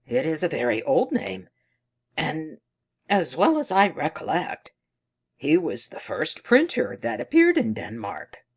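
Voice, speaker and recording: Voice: medium pitch, wildly swooping pitch, unhurried, husky, full character voice. A narrator voicing a stuffy, learned, anxious male counselor in a storybook, speaking formally. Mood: pompous, curious.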